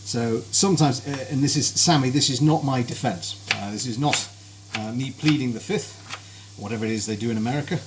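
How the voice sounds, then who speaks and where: A man's voice: mid-range voice, brisk. A man chatting cheerfully and politely as he cooks on a live stream in his kitchen, greeting viewers by name. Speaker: cooking streamer. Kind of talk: live cooking stream chat. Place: home kitchen. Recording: kitchen noise with sizzling frying.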